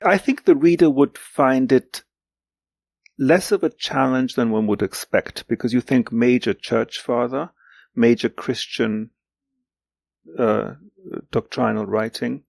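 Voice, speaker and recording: Man in his sixties, native German speaker, creaky voice, dry close sound, hesitant reflective interview talk, emphatic repetitions. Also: mid-range voice, darkish tone, no echo. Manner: hesitant, halting, repeats words for emphasis. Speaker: guest, literary translator.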